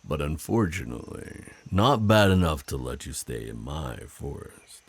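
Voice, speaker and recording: Man voicing a very deep, gravelly flirtatious werewolf. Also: big pitch swings, dry recording, full character voice. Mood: casual, listening. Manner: slow, teasing, chuckling, deliberate pauses. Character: flirtatious, protective male werewolf guarding his forest. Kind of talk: ASMR roleplay, intimate scripted monologue.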